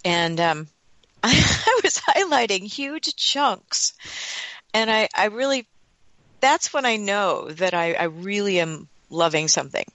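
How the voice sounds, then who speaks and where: A woman hosting a radio interview, speaking earnestly and deliberately. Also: medium pitch, very animated, fairly bright tone, no room sound. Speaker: radio host.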